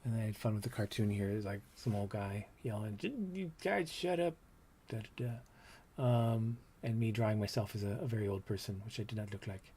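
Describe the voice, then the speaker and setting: A man in his fifties with a low, soft voice, reminiscing casually on a livestream about his old art, with many ums and trailing thoughts. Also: brisk, slight background noise. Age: 50s. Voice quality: low, soft voice that doesn't project. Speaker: comics artist showing his old work.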